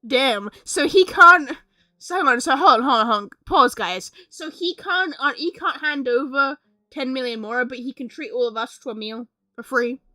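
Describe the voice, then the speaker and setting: A woman livestreamer giving casual, excitable, exclamatory reactions to a game, with game music behind. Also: high-pitched, moderate pace, no room sound.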